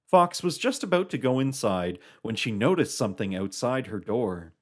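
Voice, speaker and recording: A narrator reading a scripted children's puppet show story with an expressive storybook delivery. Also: medium pitch, moderate pace.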